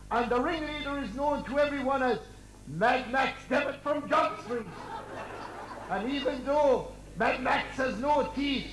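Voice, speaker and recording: A very high-pitched Irish comic DJ played by a man delivers mock news bulletins with theatrical seriousness. It is pantomime stage comedy, recorded in an echoey space with clear background noise. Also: fairly bright tone. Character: comic male local radio DJ reading mock news bulletins.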